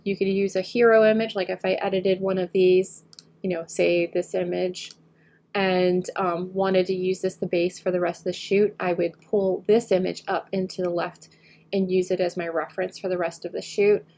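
A woman getting over a head cold, slightly nasal, talking through a photo editing tutorial as she works and often trailing off quietly. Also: fairly low pitch, flat delivery, moderate pace. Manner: thinks aloud while working, often trailing off quietly. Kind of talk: screen-recorded editing tutorial.

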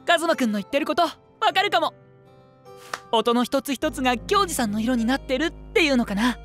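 An actor playing a gentle, easygoing, boyish young male band singer in an anime voice drama, with soft, casual phrasing. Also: sits high, lively intonation, fairly quick, faint music behind.

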